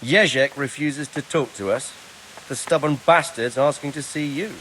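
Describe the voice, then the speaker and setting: An actor playing an authoritative, gruffly practical medieval lord in a video game cutscene. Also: male voice, mid-range voice, normal speed, a bit bright, a little hiss or hum, close to an everyday voice, low-quality recording. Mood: brisk, commanding.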